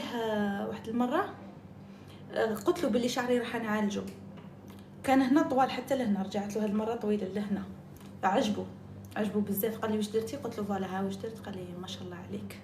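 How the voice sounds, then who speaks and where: A woman's voice: on the high side, a little mellow, roomy sound, a little hiss or hum. A woman with an Algerian accent chatting casually on a live stream, jumping between topics and mixing in French and English words.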